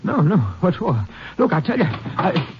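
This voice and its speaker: An actor playing a scared, evasive male hobo suspect who stammers and pleads in rough, ungrammatical speech, in a 1950s radio drama. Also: a little high, big pitch swings, measured pace, background noise. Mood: pleading. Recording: dull old radio recording.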